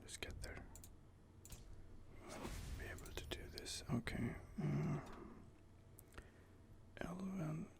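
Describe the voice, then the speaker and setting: A man's voice: a little high, steady tone, takes it slowly, vocal fry, pauses often. A man whispering casual gaming ASMR commentary while he reads out game options and muses over them. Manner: soft whispering, casual and musing.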